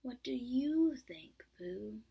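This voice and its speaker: A woman voicing a gloomy old male donkey in a storybook reading, slow and grumbling, in a husky whisper. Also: fairly high pitch, animated, mellow, exaggerated voice.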